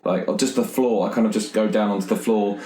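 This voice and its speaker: A man's voice: fairly low pitch, steady tone, quick pace, dark tone. A man chatting casually as a player in a tabletop roleplaying game, improvising what his character does. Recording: roomy, echoey sound.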